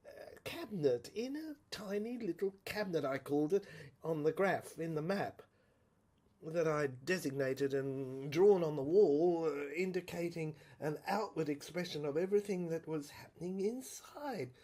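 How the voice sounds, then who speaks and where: Woman acting a troubled, halting woman with swooping pitch. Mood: searching, intense. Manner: halting, repetitive, searching, with sudden hushed drops. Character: troubled introspective woman obsessively recounting her inner mental breakdown. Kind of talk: dramatic first-person monologue for a serial audio play.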